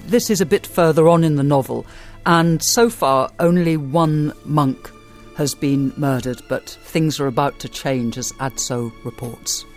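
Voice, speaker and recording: A man hosting a book club program, introducing questions and readings in a brisk presenter's way. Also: a little high, soft background music. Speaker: radio book club host. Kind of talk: presenting and reading listener emails.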